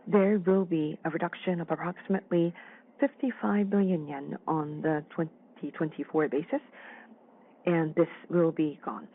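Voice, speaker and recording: Mid-pitched voice doing a formal earnings call, measured and a bit halting, muffled phone-line sound. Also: steady tone. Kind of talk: formal financial results presentation, interpreted. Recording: dull, band-limited phone-line audio.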